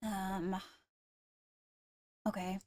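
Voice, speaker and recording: A woman playing a composed court leader in a Minecraft roleplay, speaking formally and haltingly as she gives a briefing. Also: medium pitch, flat delivery, a little mellow. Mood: measured, serious. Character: measured female court leader briefing on attacks. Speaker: roleplayer leading a court meeting.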